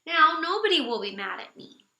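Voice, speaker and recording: Woman playing young storybook boy, earnest, wide-eyed, hesitant, very lively high voice, roomy. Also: moderate pace, gravelly, full character voice. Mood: relieved, eager.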